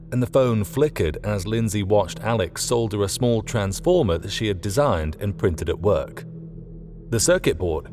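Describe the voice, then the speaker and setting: A man's voice: on the low side, darkish tone. A man reading scripted fiction as an audiobook narrator. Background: faint music.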